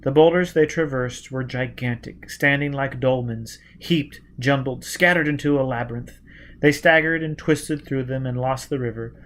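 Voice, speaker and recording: A man reading an adventure story aloud as dramatic, deliberate, intense narration. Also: medium pitch, a bit bright, a little hiss or hum. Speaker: audiobook narrator.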